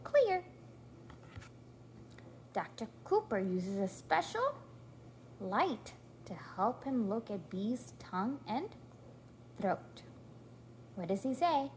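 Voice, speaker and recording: A woman reading a storybook aloud to a toddler in an encouraging, sing-song way, pausing to prompt and praise. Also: a little high, sing-song, darkish tone, a little hiss or hum. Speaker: parent reading aloud to a young child.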